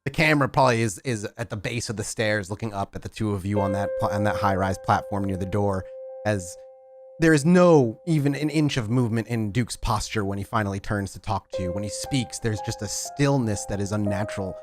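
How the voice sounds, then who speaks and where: A man's voice: on the low side, fairly quick, faint music behind. A man narrating a tabletop roleplaying game as storyteller, describing scenes in detail.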